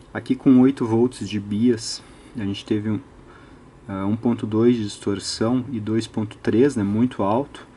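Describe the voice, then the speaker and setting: Low-voiced Brazilian man, mellow delivery. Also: a little hiss or hum. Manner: methodical, thinking aloud, frequent tag questions. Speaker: electronics hobbyist presenter. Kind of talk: unscripted technical tutorial.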